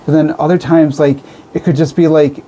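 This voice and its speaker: A man's voice: a little high, flat delivery, fairly quick, mellow, a little hiss or hum. A man in his fifties or older hosting a conversational radio interview, rephrasing his questions as he goes. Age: 50s or older. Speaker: radio show host interviewer.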